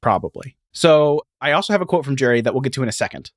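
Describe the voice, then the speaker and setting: Male history podcast host, mid-pitched, explanatory and conversational, given to asides, dry sound. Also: animated, fast talker, dry recording.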